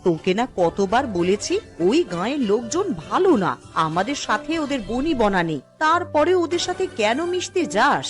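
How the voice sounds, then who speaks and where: High-voiced, fretful village mother. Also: big pitch swings, normal speed, hardly any pauses, soft background music. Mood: scolding. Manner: exasperated, nagging. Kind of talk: Bengali cartoon dialogue.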